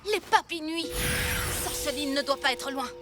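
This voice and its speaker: A woman's voice: high voice, normal speed, lightly acted. A woman voicing a brave, earnest six-year-old superhero who leads the team, direct and decisive, in a French cartoon dub. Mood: determined. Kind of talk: French animated series dubbing. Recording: music bed under the dialogue.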